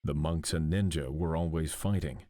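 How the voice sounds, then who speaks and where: A man's voice: extremely low pitch, moderate pace, warm tone, no room sound, studio quality. A man playing a grave, resolute warrior clan heir in a game cutscene, speaking in a serious, commanding manner. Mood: measured, deliberating. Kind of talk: video game cutscene acting.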